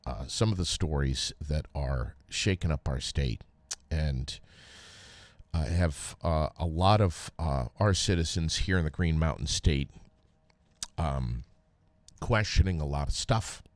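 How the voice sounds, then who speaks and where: An American man hosting a podcast, talking casually and haltingly with frequent pauses. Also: very deep voice, lively intonation, no echo. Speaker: podcast host, former radio DJ. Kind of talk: casual solo podcast talk with read sponsor plug.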